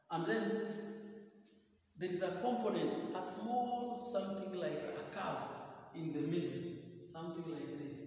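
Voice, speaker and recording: High-pitched male teacher, middle-aged, deliberate and repetitive explanation, very echoey classroom, distant mic. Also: darkish tone. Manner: deliberate, repeats key phrases, checks understanding with "okay?". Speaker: physics teacher. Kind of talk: whiteboard lesson explanation. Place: indoor classroom at a whiteboard. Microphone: camera a few metres away.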